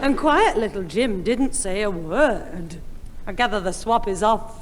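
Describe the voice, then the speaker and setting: A woman's voice: mid-range voice, expressive, a little slow. A woman playing a weary, sarcastic mother in a 1960s radio sitcom. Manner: dry, sarcastic, dismissive. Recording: old radio broadcast, echoey, very noisy.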